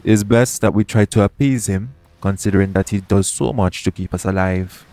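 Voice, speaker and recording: A man playing a shady, smooth-talking pirate captain in an audio roleplay, coaxing and self-assured in a conversational way. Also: fairly low pitch, fairly even intonation, normal speed, background noise, close to an everyday voice. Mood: reassuring. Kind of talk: second-person audio roleplay acting.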